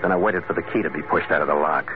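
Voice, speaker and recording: An actor playing a tough, wry sea captain sleuth in a classic radio detective drama, clipped and sardonic. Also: male voice, very deep voice, rapid-fire, music in the background. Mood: tense, hushed narration. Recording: noisy vintage radio broadcast, muffled.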